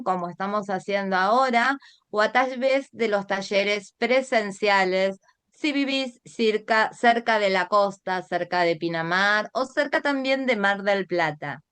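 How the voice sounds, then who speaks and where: A woman's voice: mid-range voice, a little slow, fairly bright tone, clear, resonant tone, dry recording. A middle-aged Argentine woman presenting an online art class as its teacher, guiding listeners directly and asking them rhetorical questions. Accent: Argentine Spanish.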